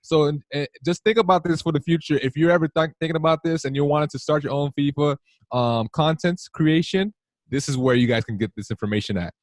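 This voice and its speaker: A man hosting a casual podcast, rambling warmly with many fillers and restarts. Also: fairly high pitch, fairly quick, no echo. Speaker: podcast host.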